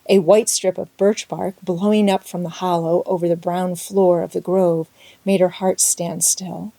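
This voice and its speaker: Mellow woman narrating a story. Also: fairly low pitch, no room sound. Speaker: audiobook narrator. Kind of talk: expressive scripted story narration.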